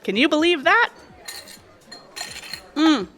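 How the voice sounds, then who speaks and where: Bright, animated, sly cocktail waitress. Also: female voice, high-pitched, slow, faint music behind, heavily acted. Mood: chatty, griping. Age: 30s. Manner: breezy, familiar, insinuating, talkative. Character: pushy, chatty, sly cocktail waitress and aspiring actress, mid-thirties. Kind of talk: audio drama acting.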